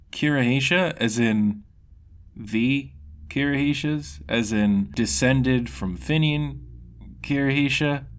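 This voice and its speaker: A man's voice: mid-range voice, voice pushed up. A man voicing a young female thief in a fantasy story, wry, curious and casually teasing. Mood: incredulous.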